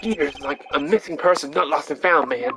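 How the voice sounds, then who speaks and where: A woman's voice: deep voice, a little fast, background noise, voice pushed down, close to an everyday voice. A woman voicing a laid-back, mildly aggrieved male rock guitarist in a video game, with slangy, casual phrasing.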